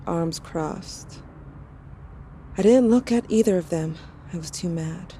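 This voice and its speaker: Mellow, sardonic teenage girl. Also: on the low side, takes it slowly, a little hiss or hum. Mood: bitter, frustrated. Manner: sardonic, confessional, bluntly informal. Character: angry, grieving teenage girl writing to her dead sibling. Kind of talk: audio drama diary-letter narration.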